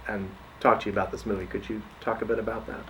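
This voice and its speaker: Low-voiced male interviewer heard from afar. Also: flat delivery, fast, distant mic, slight background noise, poor audio. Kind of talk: interview question.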